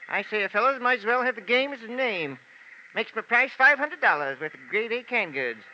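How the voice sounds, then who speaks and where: A man's voice: extremely high pitch, animated, a little fast, bright, forceful tone, dull audio, exaggerated voice. An actor playing a grasping, cantankerous old island fisherman with a folksy, haggling way of talking, in vintage radio drama. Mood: sly, haggling. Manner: folksy, hesitant haggling, crotchety. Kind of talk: 1940s-50s American radio drama character acting. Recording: noisy, band-limited old radio recording.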